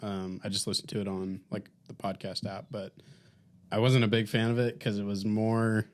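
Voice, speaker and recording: A man's voice: lowish voice, flat delivery, moderate pace, darkish tone. A man co-hosting a podcast in casual conversation. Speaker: podcast co-host. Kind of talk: casual chat.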